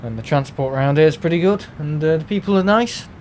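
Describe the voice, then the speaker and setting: A man's voice: a little high, fast, a little mellow, slight background noise. A man with a Merseyside accent, hosting a livestream and chatting casually with viewers, rambling with lots of fillers and restarts. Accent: Merseyside English. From England. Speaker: livestream host. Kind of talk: casual chat with live viewers.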